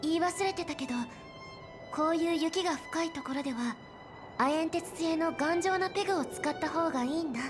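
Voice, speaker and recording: A woman voicing a calm, practical young girl explorer in an anime game, soft-spoken and matter-of-fact. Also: extremely high pitch, brisk, music in the background. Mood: calm, explanatory.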